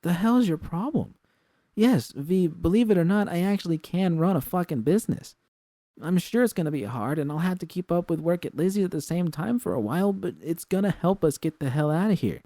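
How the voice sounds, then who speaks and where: A man's voice: on the high side, expressive, a little fast, dark tone, no echo, studio quality, pitched above the performer's own voice. A man voicing an earnest, defensive and stubbornly hopeful young woman in an audiobook story, with an insistent, slangy delivery. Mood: angry, defiant.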